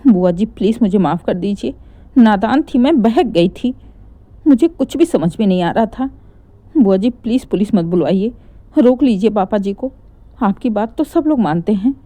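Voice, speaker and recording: A woman's voice: mid-range voice, animated, moderate pace, warm tone, no room sound, natural voice. A woman voicing a cornered young daughter-in-law in a moral story, pleading and anxious. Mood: desperate pleading. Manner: pleading, repeating please.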